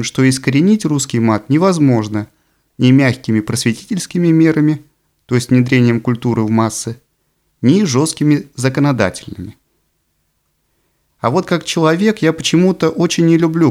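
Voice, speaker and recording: A man narrating a nonfiction book from a script, phrasing it in a measured, deliberate way. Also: mid-range voice, darkish tone. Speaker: audiobook narrator.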